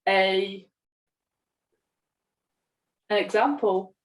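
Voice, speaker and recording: A woman's voice: medium pitch, fairly even intonation, drawn-out words. A young woman chatting casually as a podcast co-host. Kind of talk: casual chat. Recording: voice distant from the microphone.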